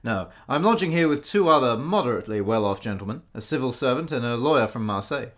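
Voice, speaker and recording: A man acts out a jovial gentleman writer in a period radio play. His mid-pitched voice is highly animated, full of teasing, hearty, old-fashioned phrasing. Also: quick pace, natural voice. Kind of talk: radio drama acting.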